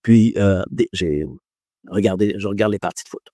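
A man's voice: lowish voice, normal speed, warm tone, no echo, clean studio sound. A man gives a reflective talk on faith and philosophy to an audience, hesitating often and joking about himself. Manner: hesitant, many fillers, self-deprecating humour.

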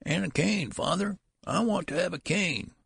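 A man's voice: sits high, moderate pace, creaky, fairly bright tone, slightly rough, no room sound, clean studio sound, voice pushed up, heavily acted. A man voicing a querulous, complaining old man in a storybook, with a cracked, whining, ancient voice. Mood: demanding. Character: querulous old man who is a newborn baby. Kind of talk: audiobook character voice.

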